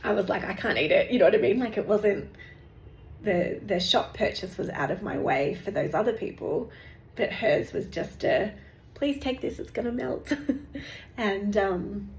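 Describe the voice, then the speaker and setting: A woman, hosting a casual vlog update, rambling and chatty with lots of fillers and restarts, her voice going hoarse. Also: mid-range voice, animated, measured pace. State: losing her voice. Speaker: meditation teacher and channel host. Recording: echoey room with faint background noise.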